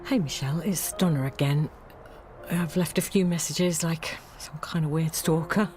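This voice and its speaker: A woman's voice: low-pitched, moderate pace, a little mellow, soft background music, lightly acted. An actress playing an earnest, hesitant woman who speaks politely and halts with fillers, in a radio play. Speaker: local helper arranging refugee hosting.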